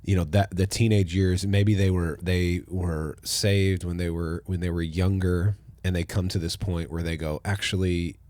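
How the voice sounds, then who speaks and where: A man, a pastor, hosting a casual conversational podcast, relaxed and thoughtful, with his phrases often trailing off softly. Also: low-pitched, moderate pace, few pauses, no room sound.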